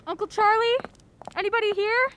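A woman playing an anxious, urgent heroine in a mystery audio drama, excitable and insistent. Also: very high-pitched, brisk. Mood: scared. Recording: clearly noisy audio drama track.

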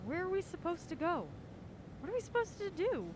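A woman's voice: extremely high pitch, sing-song, a little fast, background noise. A woman playing a wry, wary amateur sleuth in a naturalistic audio drama, with a dry, questioning manner. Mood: wary, sarcastic. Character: wry, wary young woman on a mystery hunt.

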